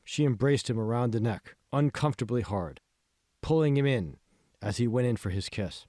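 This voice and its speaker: A man's voice: mid-range voice, expressive, moderate pace, warm tone, no echo, clean studio sound. A man reading scripted audiobook narration of a novel. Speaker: author reading own audiobook.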